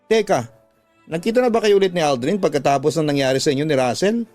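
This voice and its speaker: A man's voice: a little high, animated, fast, a little mellow, natural voice. A man voicing a worried, scolding young woman in a radio drama. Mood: startled, probing. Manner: reproachful, probing. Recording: background music under the voice.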